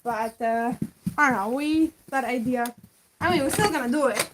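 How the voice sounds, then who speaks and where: A woman's voice: high-pitched, normal speed, noisy background. A woman in her twenties, a native Greek speaker, chatting casually and giggling on a livestream from a rural farmstead. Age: 20s. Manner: chatty and giggly, trailing off mid-sentence. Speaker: co-host of a homestead livestream. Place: rural farmstead, outdoors then indoors.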